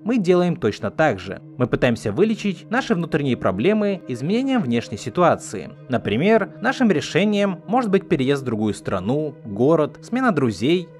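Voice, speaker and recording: Lively-pitched man narrating an essay over music. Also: normal speed, hardly any pauses. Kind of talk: scripted narration, explanatory essay. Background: background music.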